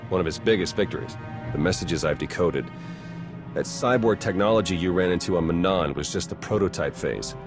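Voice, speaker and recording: A confident male game spy in his thirties. His voice is low and his delivery dry and casual, with prominent music behind him. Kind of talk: video game dialogue acting. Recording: loud soundtrack music under dialogue.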